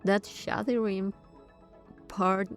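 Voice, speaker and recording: Female game streamer, medium pitch, slow pace, casual reaction commentary, music in the background. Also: fairly even intonation. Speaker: gaming streamer.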